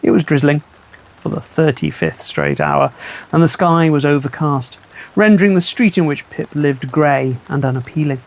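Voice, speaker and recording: A storyteller reading a children's book aloud in an expressive, measured, wry way. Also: mid-range voice, low-quality recording.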